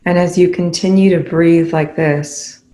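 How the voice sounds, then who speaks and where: A meditation guide speaking calmly and instructively, leaving long pauses between phrases. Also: mid-range voice.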